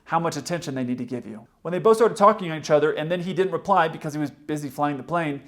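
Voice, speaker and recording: A man's voice: on the high side, quick pace, a bit bright. A man, a pilot hosting a video, explains flying procedures in a plain-spoken, opinionated commentary. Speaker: pilot and video host explaining aviation radio.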